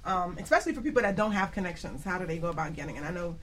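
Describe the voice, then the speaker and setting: A woman's voice: on the low side, animated, racing, slight background noise. A woman hosting a radio talk show in an informal, conversational chatting style. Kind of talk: casual talk show chat.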